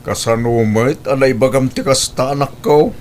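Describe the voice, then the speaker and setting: A man's voice: medium pitch, normal speed, darkish tone, slight background noise, lightly acted. A man voicing an elderly, frugal father in an audio drama, advising and admonishing. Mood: concerned.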